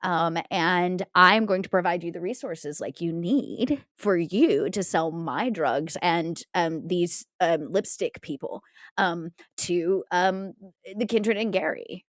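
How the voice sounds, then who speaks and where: A woman's voice: fairly low pitch, takes it slowly, no echo, clean studio sound. A woman playing a spoiled, flighty young vampire businesswoman in a tabletop game, with airy valley-girl filler and plenty of self-assurance. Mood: businesslike, halting. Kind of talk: improvised tabletop roleplay.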